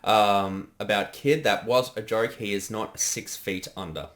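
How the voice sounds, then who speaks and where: A man's voice: on the low side, measured pace, fairly bright tone. A man co-hosting a casual, conversational reaction chat. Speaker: co-host.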